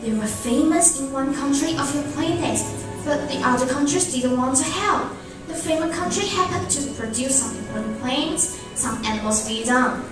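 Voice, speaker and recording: A woman's voice: sits high, moderate pace, lightly acted. A woman playing a cool female agent who hunts aliens in an amateur radio drama. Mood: matter-of-fact. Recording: echoey hall, distant, music behind.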